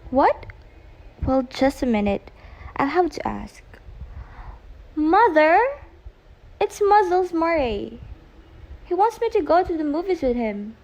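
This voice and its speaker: High-pitched, slow, melodramatic teenage girl acted by a woman. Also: creaky, a little mellow, lo-fi sound, exaggerated voice. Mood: excited. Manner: pleading, melodramatic. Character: pleading, dramatic teenage girl, boy-crazy daughter. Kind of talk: amateur English radio play acting.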